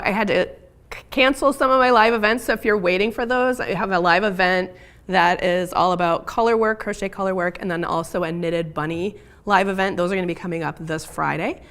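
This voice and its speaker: Hoarse middle-aged woman, raspy, lowish voice, chatty live crochet teacher. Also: a bit bright. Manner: chatty, with frequent ums and self-corrections. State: hoarse from illness. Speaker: crochet instructor. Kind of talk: live craft tutorial.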